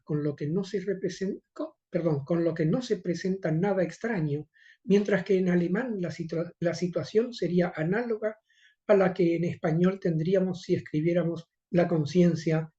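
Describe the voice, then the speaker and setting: Older Argentine-accented male academic, mellow tone, muffled conference recording. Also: sits high. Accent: Rioplatense Spanish. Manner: measured, formal reading with occasional hesitations. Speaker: conference speaker. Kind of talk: reading an academic lecture.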